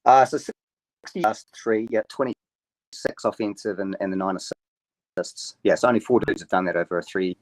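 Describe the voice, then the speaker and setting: A man guesting on a sports podcast over a remote call, rambling and halting as he reels off stats. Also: lowish voice, no echo, rough recording. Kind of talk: casual sports podcast chat. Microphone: remote call mic.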